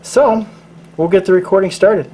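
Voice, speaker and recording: A man hosting his channel, explaining things casually in a halting, low-key, rambling way. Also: dark tone, poor audio. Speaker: channel host. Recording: noticeable background noise.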